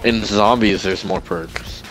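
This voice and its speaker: A man's voice: lowish voice, slow. A young man hosting a live shooter game session, bantering crudely and teasing his friend through a headset. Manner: brash, swearing, teasing. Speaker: channel host playing online shooter. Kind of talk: crude casual gaming chat. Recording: game music and gunfire behind.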